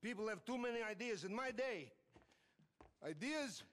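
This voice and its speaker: A man acting a gruff, old-fashioned character with blunt, broken grammar in a video game. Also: high-pitched, lively intonation, normal speed, fairly bright tone. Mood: grumbling.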